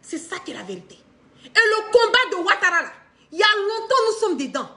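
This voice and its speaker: A woman's voice: very high-pitched, fairly quick. A middle-aged woman with an Ivorian accent giving an impassioned, unscripted political monologue to camera, repeating phrases for emphasis. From Ivory Coast. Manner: emphatic, repeats phrases for stress, animated with hand gestures. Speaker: political commentator on a live stream. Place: indoor living room.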